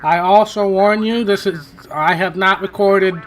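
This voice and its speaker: A man's voice: sits high, steady tone, measured pace, a little hiss or hum, poor audio. A man hosting a racing game playthrough in casual, rambling commentary, his voice worn from hours of talking. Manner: rambling, self-correcting, chatty. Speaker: gaming channel host.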